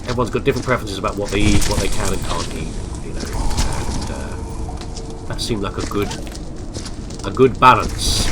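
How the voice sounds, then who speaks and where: Middle-aged man, stuffy nose, lively rambling let's-play chatter, noisy game audio underneath. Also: lowish voice. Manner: chatty, meandering, self-deprecating. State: congested, bunged-up nose. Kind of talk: rambling casual gameplay commentary. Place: home office.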